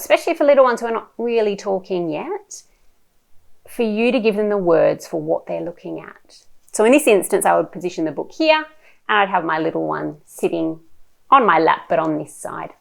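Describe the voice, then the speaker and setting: A woman presents advice to parents in a low, unhurried voice. Her delivery is explanatory and lively in pitch, with some creak at the edges. She puts emphasis on key points. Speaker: presenter giving parents reading tips. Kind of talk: instructional talk to camera.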